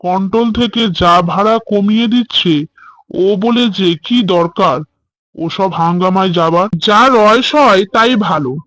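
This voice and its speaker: Man acting high-pitched questioning office clerk. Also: moderate pace, fairly bright tone, no echo, rough recording. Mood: persuasive. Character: two male office clerk friends house-hunting, conversing. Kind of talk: cartoon horror story dialogue.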